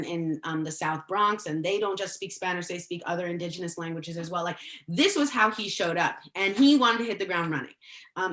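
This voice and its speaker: Lowish-voiced woman from El Salvador, animated podcast guest, roomy echo. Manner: animated, quick laughs, many "like" fillers. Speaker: guest, former education official. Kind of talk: casual podcast conversation.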